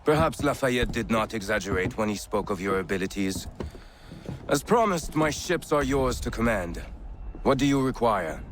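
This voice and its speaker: Game role: skeptical French sea captain male actor, French accent, very animated melody, dry theatrical wit, light background noise. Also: on the low side, normal speed, natural voice. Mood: courteous. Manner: wry, theatrical incredulity. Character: wry, skeptical French naval commander. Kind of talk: video game cutscene acting.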